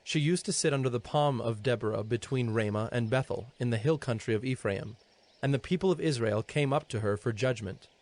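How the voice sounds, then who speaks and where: A man narrating scripture in a solemn, measured storytelling manner. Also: medium pitch, a little mellow. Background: music.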